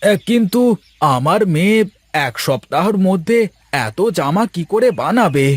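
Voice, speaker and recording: A man playing a worried, gentle farmer father in a village cartoon, with a plaintive, fretful manner. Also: sits high, lively intonation, unhurried, husky, no echo, exaggerated voice. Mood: surprised, doubtful. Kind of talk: Bengali moral-story cartoon voice acting.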